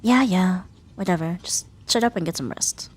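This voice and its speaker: A woman playing a sharp-tongued young female rival in an ASMR roleplay, huffy and sarcastic but grudgingly caring. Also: on the low side, husky. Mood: grudgingly soft, dismissive. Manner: sarcastic, huffy, theatrical sighs and drawn-out jabs. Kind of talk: ASMR roleplay audio drama addressed to the listener.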